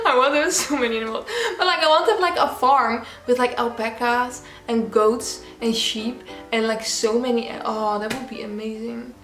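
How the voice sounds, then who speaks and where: A woman's voice: on the high side, fairly bright tone, reverberant. A young woman from the Netherlands with a Dutch accent, chatting casually and giggling as she answers viewer questions. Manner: chatty, giggly, many "like" fillers. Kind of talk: casual Q&A vlog.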